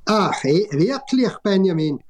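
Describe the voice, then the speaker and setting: A man's voice: sits high, very animated, measured pace, voice pushed up, exaggerated voice. A man reading a curious child from a children's radio play in broad Tyrolean dialect. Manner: broad dialect, hard to understand.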